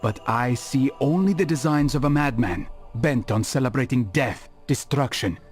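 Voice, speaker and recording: A man's voice: mid-range voice, lively intonation, lightly acted. Grave male assassin, voiced by an actor, over music. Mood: brooding. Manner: formal, deliberate phrasing. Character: grave, philosophical male assassin, stern and measured. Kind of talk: video game cutscene acting. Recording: game audio with music behind.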